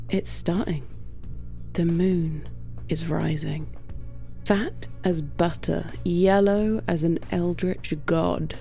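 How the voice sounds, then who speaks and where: A woman storyteller with a low, creaky, mellow voice reads literary fiction very slowly. Her delivery is hushed and measured, with dramatic pauses, over faint music. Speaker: storyteller, narrator. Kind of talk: scripted literary story narration.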